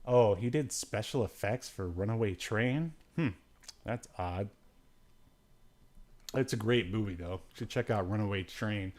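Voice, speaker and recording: A man's voice: lowish voice, measured pace, darkish tone. A man hosting a casual livestream podcast, chatting in a rambling, dryly joking way. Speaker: podcast host. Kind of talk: casual solo livestream chat about obscure media.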